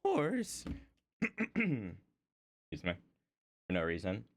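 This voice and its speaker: A man streaming from home while he draws, chatting about his desk microphone in a rambling, self-deprecating way, his voice a little hoarse. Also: extremely slow, slight background noise. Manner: rambling, self-deprecating, lively swings in pitch. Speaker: art streamer. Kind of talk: casual stream chat.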